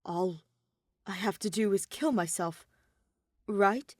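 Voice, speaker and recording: A woman's voice: mid-range voice, takes it slowly, no room sound. Anxious young man, read by a woman: bewildered, hesitant, urgent, in a close dry recording. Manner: hesitant, stammering, urgent questioning.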